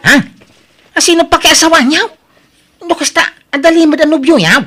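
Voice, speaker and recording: A woman's voice: extremely high pitch, brisk, fairly bright tone, soft background music. A woman with an Ilocano accent playing a scandalized, scolding grown daughter in a comedy drama, full of exclamations and questions. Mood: shocked, scolding.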